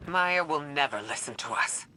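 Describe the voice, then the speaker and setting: Sharp, forceful, stern leader. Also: male voice, fairly high pitch, animated. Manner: grave, measured, authoritative. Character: stern, determined male leader of a mission. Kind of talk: dramatic video game dialogue. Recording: faint background noise.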